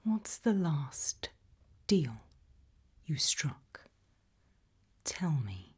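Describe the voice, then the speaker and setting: A British woman playing a composed, probing, ominous interrogator in a whispered, breathy ASMR roleplay, questioning deliberately. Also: low-pitched, sing-song, exaggerated voice. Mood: eerie, probing. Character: composed, probing female interrogator of possessed people at a sinister institute.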